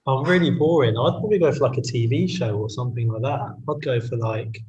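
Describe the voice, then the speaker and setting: A man's voice: mid-range voice, fast talker, a little mellow, dull audio. Businesslike male guest, distant, roomy sound. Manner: earnest, business-minded, many fillers. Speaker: guest, media company co-founder. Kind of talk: casual interview answers. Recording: roomy audio from far off the mic.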